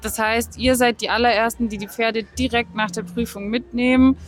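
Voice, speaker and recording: A woman's voice: fairly high pitch, fairly even intonation, moderate pace. A woman co-host at a horse show, asking earnest follow-up questions in an interview. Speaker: podcast co-host. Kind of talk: on-location interview.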